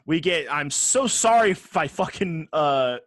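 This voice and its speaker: A man with a slightly high-set voice talks as a podcast host. He is casual and slangy, swears freely and states opinions with emphasis. The sound is dry and close. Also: lively intonation, measured pace, a bit bright, dry recording. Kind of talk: casual podcast chat about movies and games.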